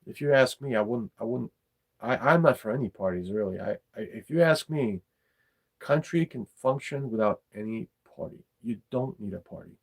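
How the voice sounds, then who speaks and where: A middle-aged man, talking casually and bluntly about his opinions, halting and repeating his words. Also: medium pitch, slightly rough. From former Yugoslavia. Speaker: podcast host.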